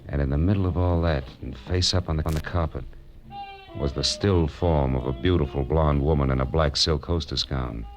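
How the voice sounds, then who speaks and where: A man's voice: a bass voice, fairly even intonation, normal speed, lo-fi sound. An actor playing a wry, tough-talking American private detective, narrating his case in 1940s radio drama style. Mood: wry, tense. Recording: vintage radio drama with music underneath.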